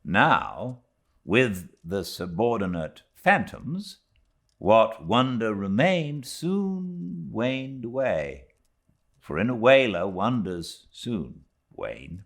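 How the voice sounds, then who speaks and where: A British man narrating classic literature like an audiobook, with measured, dramatic phrasing and deliberate pauses. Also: on the low side, sing-song, creaky. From Britain.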